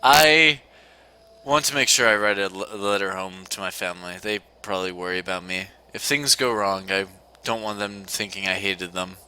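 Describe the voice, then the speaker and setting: A man's voice: low-pitched, normal speed, bright, forceful tone, slight background noise, voice pushed down, low-quality recording. A man reading a loyal young woman soldier. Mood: earnest. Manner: reads game dialogue aloud, plainly.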